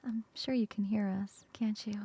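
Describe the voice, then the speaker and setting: A woman voicing a flirty female coworker in an ASMR roleplay, close to the mic in a soft, playful whisper. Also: mid-range voice, steady tone, normal speed, slightly rough, dry recording. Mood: teasing whisper.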